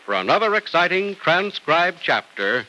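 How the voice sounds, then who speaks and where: A bright, forceful American man works as an old-time radio announcer. His highish voice swoops with animated emphasis, polished and salesmanlike, on a vintage broadcast recording. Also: moderate pace, slight background noise, rough recording.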